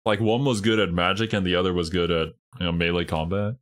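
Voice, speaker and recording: A man's voice: deep voice, fairly even intonation, quick pace. A man hosting a casual livestream, bantering and commenting with teasing, playful exasperation. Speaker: host reacting to game trailers with a friend. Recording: music behind the voice. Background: music.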